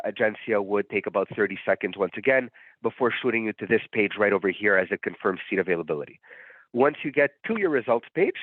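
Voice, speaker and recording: A man who is under the weather and sounds nasal, giving a relaxed, explanatory walkthrough of a booking platform with frequent fillers. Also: mid-range voice, dry recording. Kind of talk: live software demo walkthrough. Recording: dry, phone-like narrow sound.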